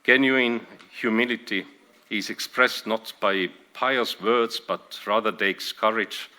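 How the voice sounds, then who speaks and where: Estonian-accented archbishop giving a formal speech. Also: male voice, fairly low pitch, fairly even intonation, pushed and bright. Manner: measured, reads from prepared text with small restarts. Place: conference hall.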